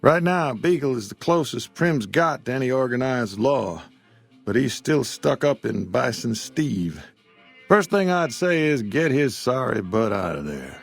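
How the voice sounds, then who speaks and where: Tired old shopkeeper, rough gravelly drawl, game dialogue. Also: male voice, medium pitch, very animated, a little slow, faint music behind, heavily acted. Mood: weary. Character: weary old male frontier shopkeeper.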